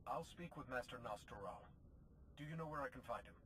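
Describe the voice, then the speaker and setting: An actor playing a calm, resolute Jedi commander in a video game, composed, earnest and assured. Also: male voice, mid-range voice, a little fast, a little hiss or hum, natural voice. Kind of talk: video game cutscene acting.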